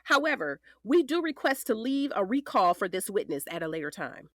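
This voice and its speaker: A woman playing a sharp, determined defense attorney in an audio drama, sarcastic yet caring, with pointed theatrical delivery. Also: mid-range voice, very animated, fairly quick, no room sound, studio quality. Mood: controlled, probing.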